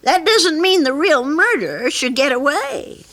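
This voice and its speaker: A woman's voice: high-pitched, lively intonation, a little slow, fairly bright tone. A woman playing a kindly, fussing, chatty landlady with a motherly, homely manner in an old-time radio mystery drama. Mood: earnest, insistent. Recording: noisy archival radio drama recording.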